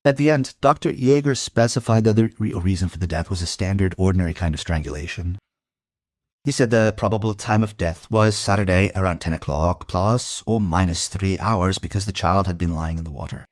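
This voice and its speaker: A man narrating a crime audio drama as a police investigator, deadpan, terse and world-weary. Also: deep voice, fairly quick, no echo, studio quality, natural voice. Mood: dry, sober. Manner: deadpan, terse, matter-of-fact. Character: male police investigator narrating his murder case, dry and world-weary.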